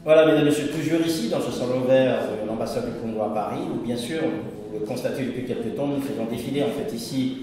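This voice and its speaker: A man interviewing guests at an event, asking quick questions full of fillers, in a large reception room. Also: medium pitch. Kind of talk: on-site interview. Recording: echoey hall, far mic, crowd behind.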